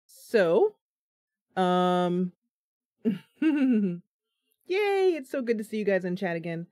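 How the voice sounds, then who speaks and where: A woman's voice: medium pitch, big pitch swings, very slow, darkish tone, no room sound, studio quality. A tired woman streaming live from her home computer, chatting casually, laughing at her own slip-ups. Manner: chatty, giggly, self-deprecating.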